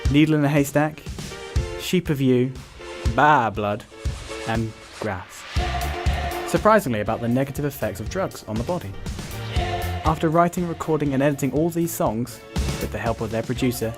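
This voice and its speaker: A man reading scripted narration for a story, as its narrator. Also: medium pitch.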